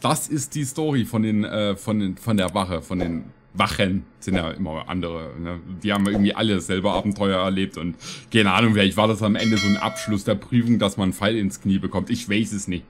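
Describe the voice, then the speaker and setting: A man's voice: lowish voice, brisk. A man in his thirties giving casual, rambling, slangy reaction commentary with stammered repetitions. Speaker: reaction video host. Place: small room at home, streaming setup.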